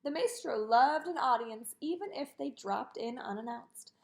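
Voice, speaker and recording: A woman reading a children's picture book aloud in an expressive way, with sing-song delivery on repeated lists. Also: on the high side, normal speed, gravelly. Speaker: storybook reader.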